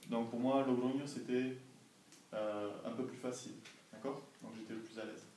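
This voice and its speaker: A man's voice: medium pitch, steady tone, moderate pace. Young Frenchman in an echoey room. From France. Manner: didactic, careful, frequent checking questions. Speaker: language assistant being interviewed by students. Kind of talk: informal classroom interview answers. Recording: echoey classroom, distant mic, faint noise.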